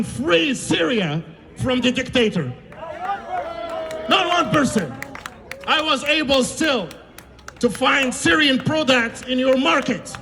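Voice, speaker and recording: A Moroccan-born man giving an impassioned outdoor rally speech, shouting over a crowd. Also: very high-pitched, long reverb tail. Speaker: rally speaker.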